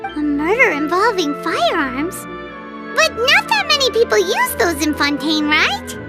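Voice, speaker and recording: A woman voicing a small, curious, chatty fairy companion in a video game, squeaky and childlike, exclaiming as she talks. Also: sing-song, measured pace, heavily acted. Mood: surprised, puzzled. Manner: exclamatory, refers to self in third person. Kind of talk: animated game voice acting. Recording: music behind the voice.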